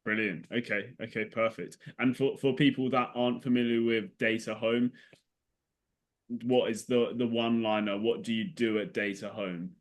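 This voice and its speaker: A man's voice: medium pitch, flat delivery, normal speed. A man with a mid-range voice hosts a podcast interview. He speaks casually, dropping in short words of agreement before asking his questions. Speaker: podcast host.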